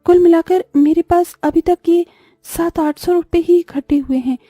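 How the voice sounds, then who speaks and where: A woman voicing a poor, dutiful and caring young elder daughter in a cartoon, earnest and gentle. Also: extremely high pitch, steady tone, normal speed, dry recording, studio quality, soft background music. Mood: worried. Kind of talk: Hindi moral-story cartoon voice acting.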